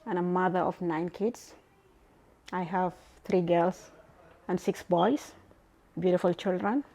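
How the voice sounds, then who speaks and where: A woman's voice: on the low side, fairly even intonation, slow, dark tone. A middle-aged woman from Sudan, speaking earnestly about her family and community. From South Sudan. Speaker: community mother.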